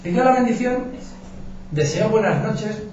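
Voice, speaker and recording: A journalist from giving an informal talk to an audience, colloquial and anecdotal, with frequent restarts. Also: male voice, high-pitched, lively intonation, measured pace, darkish tone. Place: meeting room with audience. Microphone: distant room mic. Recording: echoey, distant, dull with noise.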